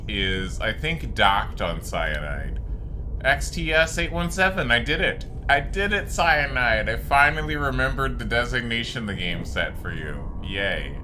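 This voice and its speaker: Slow-talking man with a lowish voice. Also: background noise. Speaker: gaming video creator narrating gameplay. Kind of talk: casual gameplay commentary. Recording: game music behind the voice. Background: video game music.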